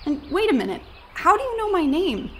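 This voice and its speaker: A woman's voice: extremely high pitch, big pitch swings, fairly quick, slight background noise. A woman playing a sarcastic, skeptical young tourist in a radio play. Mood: agitated. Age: young adult. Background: birdsong, woodland ambience.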